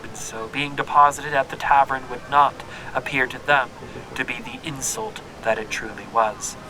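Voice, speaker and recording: An actor playing a reflective young traveler, narrating his journey in a measured, literary way for a scripted audio drama. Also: mid-range voice, noisy background, lightly acted.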